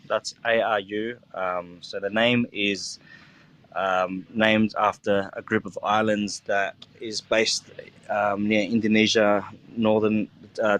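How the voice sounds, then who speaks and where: An Australian man in his thirties, a chef talking casually in an interview, rambling with lots of fillers. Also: on the low side. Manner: relaxed, rambling, many fillers like "like" and "you know". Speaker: chef and restaurant owner.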